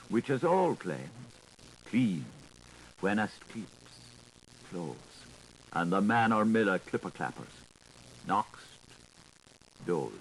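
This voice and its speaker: A man reading a literary audiobook in a theatrical, deliberate, declaiming manner, on a 1980s recording. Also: mid-range voice, slight background noise, rough recording. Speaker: audiobook reader.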